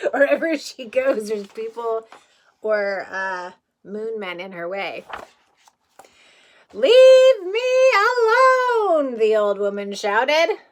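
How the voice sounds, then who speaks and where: A woman's voice: medium pitch, animated, slow, slight background noise. A woman teacher reading a picture book aloud to young children in a playful way, with chatty asides and questions.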